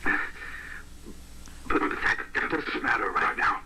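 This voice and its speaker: A man's voice: very deep voice, big pitch swings, heavily acted. Noisy tape of a man as a haunted archaeologist. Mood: haunted, shaken. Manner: halting, stammering in places. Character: troubled male archaeologist giving grave, haunted testimony. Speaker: archaeologist witness in a horror series. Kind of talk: dramatic recorded testimony in analog horror. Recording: very noisy, degraded vintage tape sound.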